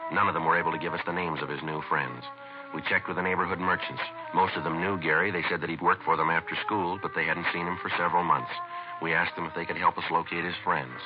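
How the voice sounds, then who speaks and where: An actor playing a terse, matter-of-fact police detective in a 1950s radio crime drama, on a muffled vintage recording. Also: male voice, deep voice, fast talker, over music, natural voice. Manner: clipped, matter-of-fact, deadpan. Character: calm, terse male police detective narrating his case.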